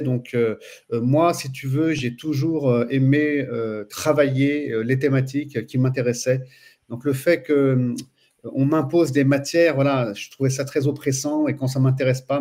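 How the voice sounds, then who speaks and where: A man in his forties from France, a teacher telling his story as a guest in a relaxed interview, pausing often with fillers. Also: medium pitch. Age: 40s. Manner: hesitant, frequent pauses and fillers. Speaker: guest, Islamic studies teacher. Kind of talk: casual interview chat.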